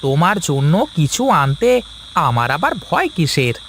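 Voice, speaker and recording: A man acting an animated, placating cartoon husband. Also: on the high side, normal speed, slight background noise. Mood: placating, exasperated. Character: easygoing village husband who placates his nagging wife. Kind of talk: cartoon voice acting in Bengali family story.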